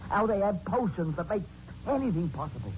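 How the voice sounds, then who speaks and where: An actor playing a superstitious, suspicious working-class London gossip with rough grammar in a 1940s radio drama. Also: male voice, sits high, big pitch swings, brisk. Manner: uneducated grammar. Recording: noisy muffled 1940s radio recording.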